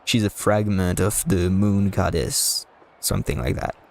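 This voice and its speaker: A man's voice: sits low, measured pace, vocal fry. A man streaming a video game, chatting casually in a rambling, self-deprecating way. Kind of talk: casual livestream chat and game commentary.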